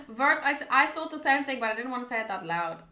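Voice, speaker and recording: A woman's voice: high voice, expressive, a little fast, bright, forceful tone. A chatty woman livestreaming a visual novel, reading its narration aloud and commenting casually in reaction to the game and viewers. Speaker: game streamer. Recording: roomy echo.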